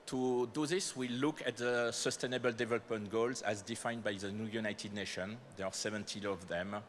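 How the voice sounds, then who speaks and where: A man's voice: lowish voice, fairly even intonation, normal speed, slight background noise. A man with a French accent giving a conference talk, speaking with frequent fillers and self-corrections. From France. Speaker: corporate speaker presenting startup programs. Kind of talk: conference presentation. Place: conference hall.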